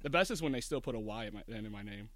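A soft-spoken man, a DJ guest talking easygoing and casual, with many fillers. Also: fairly low pitch, animated, racing, no echo. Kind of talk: casual chat.

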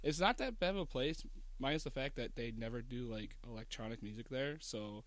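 A soft-spoken man, a DJ guest talking easygoing and casual, with many fillers. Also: mid-range voice, a little fast, no echo. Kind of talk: casual chat.

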